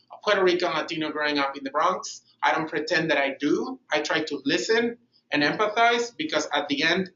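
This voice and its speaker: A middle-aged man from Mexico with a Mexican accent, leading a workshop in an animated way and restarting his sentences as he thinks aloud. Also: on the high side. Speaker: workshop facilitator. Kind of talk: workshop presentation. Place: meeting room. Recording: echoey room, dull lo-fi sound.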